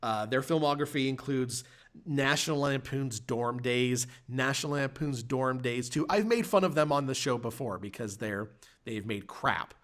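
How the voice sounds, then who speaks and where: A man with a mid-pitched voice hosts a casual review podcast. He talks in a conversational, halting way, thinking aloud and restarting phrases as he builds each point. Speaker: podcast host. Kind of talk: casual film review chat.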